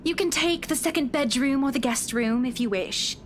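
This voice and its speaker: A woman's voice: sits high, moderate pace, a little hiss or hum, lightly acted. A woman playing a proud, cross young wife with a pointed, sarcastic manner in a visual novel game. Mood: stern. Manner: pointed, reproachful.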